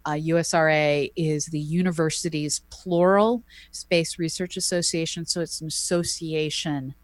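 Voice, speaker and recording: A woman co-hosting an astronomy podcast, explaining at length in a measured, thoughtful way with frequent ums. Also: sits low, fairly even intonation. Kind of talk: casual explanatory chat.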